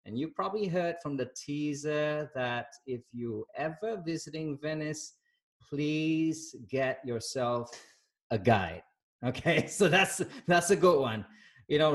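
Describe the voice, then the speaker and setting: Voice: medium pitch, slow pace. A show host chatting casually and upbeat, joking and exclaiming in reaction to the guest. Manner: jokey, laughs often, exclaims reactions. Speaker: host of a coaching interview show. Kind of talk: casual upbeat interview chat.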